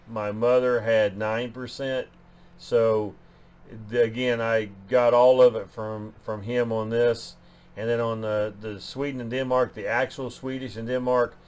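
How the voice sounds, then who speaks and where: A man hosting a genealogy channel, talking through his DNA numbers in a loose way, often restarting phrases. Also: mid-range voice. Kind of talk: explaining DNA results on screen.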